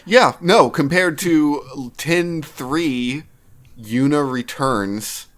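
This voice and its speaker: A man's voice: fairly high pitch, very slow, a bit bright. A man co-hosting a podcast, chatting casually about video games in a blunt, opinionated way. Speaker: podcast co-host. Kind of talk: casual video game discussion.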